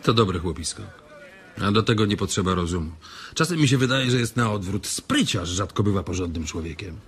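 Low-voiced, level-headed ranch hand. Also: male voice, lively intonation, fairly quick, a bit bright, a little hiss or hum. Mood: calm, reflective. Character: calm, fair-minded, respected ranch hand. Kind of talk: radio drama acting.

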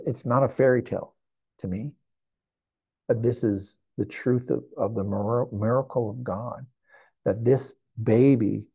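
A man's voice: medium pitch, creaky, warm tone, breathy edge, no room sound. A man in his sixties preaching a sermon to camera in a church, earnest and reflective, often repeating phrases. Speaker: pastor. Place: indoor church sanctuary.

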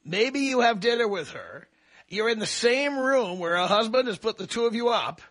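A man's voice: extremely high pitch, lively intonation, normal speed, fairly bright tone, a little hiss or hum. A man hosting a call-in radio show, blunt and pragmatic with a dry, joking manner. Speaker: radio talk show host.

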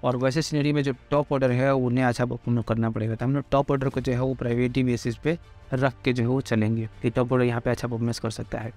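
A man presenting a cricket pitch report, reading off wickets and runs from past matches in loose, informal speech padded with fillers. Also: flat delivery, quick pace, a little mellow, faint music behind. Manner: rattles off figures with constant fillers. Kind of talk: fast informal cricket stats rundown.

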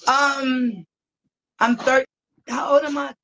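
A woman's voice: high voice, steady tone, slow pace, vocal fry, fairly bright tone. A woman in her forties calling in on a video call, chatting casually in a giggly, rambling way. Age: 40s. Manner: giggly, rambling, exclaims often. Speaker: caller on a dating show. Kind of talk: casual chat.

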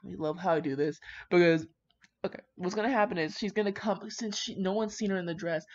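A woman reading aloud in a casual way, with brash, sweary, rambling commentary of her own. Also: lowish voice. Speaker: fan fiction reader. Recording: slightly dull lo-fi home recording.

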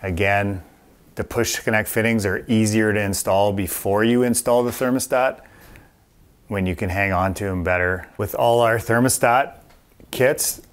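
A middle-aged man in a workshop, casually walking viewers through a motorbike part install, plain-spoken with a few fillers. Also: lowish voice. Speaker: parts company host demonstrating an install. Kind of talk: casual how-to walkthrough.

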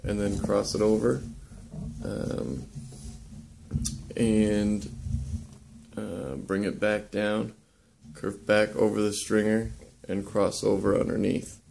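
Man, young adult, low-pitched, very gravelly, relaxed halting how-to talk. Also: slight background noise. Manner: relaxed, halting, many ums and uhs. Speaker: woodworking instructor. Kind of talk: casual how-to demonstration. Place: indoor workshop.

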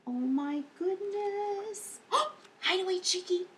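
A woman in her fifties hosting a storytime for young children as a librarian, reading aloud in an exaggerated, expressive way. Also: extremely high pitch, slow, a little hiss or hum. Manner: animated, exaggerated, with audible gasps and hushed whispers. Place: indoor lounge, seated on a couch.

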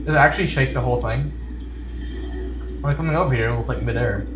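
A man's voice: mid-range voice, quick pace, echoey room, background noise. A man giving casual video game commentary with jokey, playfully sarcastic asides. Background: video game music.

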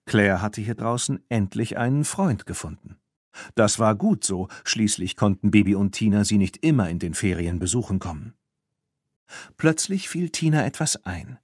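A man reads a children's story as an audiobook narrator. His voice sits fairly low and has a husky grain, and he tells the tale expressively with very varied intonation. The sound is dry and close. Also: lively intonation, normal speed, a little mellow, no echo.

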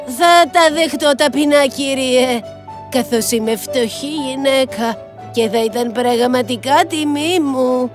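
Humble poor mother, played by a woman, high voice, stern with the kids, polite at court. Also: soft background music, natural voice. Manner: stern with her daughters, humble and polite before royalty. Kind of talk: Greek cartoon dub acting.

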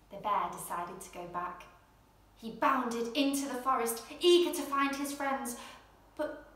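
A young woman presenting and reading a children's storybook in an expressive, gentle bedtime style. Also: sits high. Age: young adult. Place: indoor room at home, seated in armchair. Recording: very echoey room.

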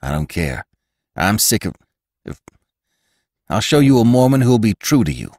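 A man's voice: fairly low pitch, very animated, normal speed, a little mellow, no room sound, pitched above the performer's own voice. A man voicing a gaunt, earnest ranch rider who speaks in an urgent, emphatic way.

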